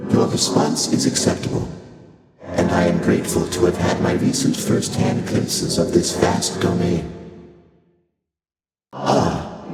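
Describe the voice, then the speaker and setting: An ancient primordial god from a video game, with a very low, stately voice. The delivery is detached, curious and formally archaic. It resounds with otherworldly, cavernous reverb over loud music. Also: male voice. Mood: calm, appraising. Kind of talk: video game voice acting, measured formal monologue.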